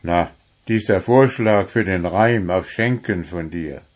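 Man as an earnest lovestruck gnome, unhurried. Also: low voice. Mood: doubtful. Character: lovestruck male gnome, earnest amateur poet. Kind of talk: storybook character voice.

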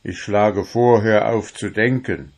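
Man as an earnest lovestruck gnome, unhurried. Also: fairly low pitch, darkish tone. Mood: doubtful. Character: lovestruck male gnome, earnest amateur poet. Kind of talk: storybook character voice.

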